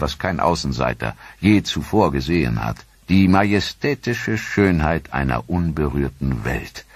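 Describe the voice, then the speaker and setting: Deep husky documentary narrator man. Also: dry recording. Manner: dramatic, measured storytelling delivery.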